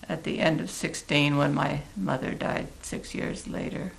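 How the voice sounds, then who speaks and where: Very creaky, slow-spoken elderly American woman. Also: sits low, steady tone, slight background noise, poor audio. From Oregon, United States. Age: 80s. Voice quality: gravelly, creaky. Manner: halting, deliberate, thoughtful pauses. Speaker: interviewee recalling her life. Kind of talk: reflective oral history interview.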